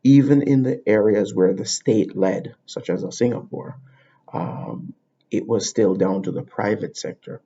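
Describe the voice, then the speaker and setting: A man's voice: fairly low pitch, unhurried, mellow, husky. A man from Jamaica, hosting a podcast and explaining ideas in an unscripted monologue, thinking aloud and circling back over his words. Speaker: podcast host. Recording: dull lo-fi recording.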